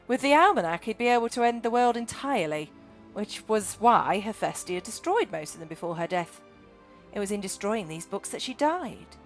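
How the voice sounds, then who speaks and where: A woman's voice: mid-range voice, lightly acted. A woman doing a scrawny frightened boy. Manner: earnest, frightened, urgent.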